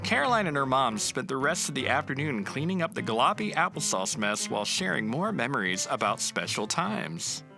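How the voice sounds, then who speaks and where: Expressive man reading a children's book. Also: mid-range voice, normal speed, vocal fry, few pauses, over music. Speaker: narrator reading a picture book. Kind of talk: storybook read-aloud.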